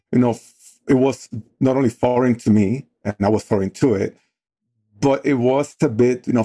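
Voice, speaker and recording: Low-voiced man with a Dominican accent. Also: dark tone. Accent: Dominican Spanish. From Dominican Republic. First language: Spanish. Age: 40s. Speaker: guest. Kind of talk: casual storytelling.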